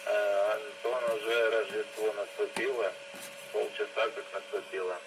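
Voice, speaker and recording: Noisy radio reception carries a man's rather low voice through a receiver. He talks in a flat, terse way as an amateur operator, spelling call signs with names. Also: measured pace. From Belgorod region, Russia. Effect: heard through radio receiver. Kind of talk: terse ham radio exchange.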